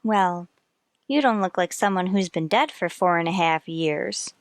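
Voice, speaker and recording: A woman's voice: fairly low pitch, measured pace, no echo, studio quality. A woman acting as an inquisitive, persistent wife and amateur sleuth in a radio mystery. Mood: preoccupied. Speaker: actor.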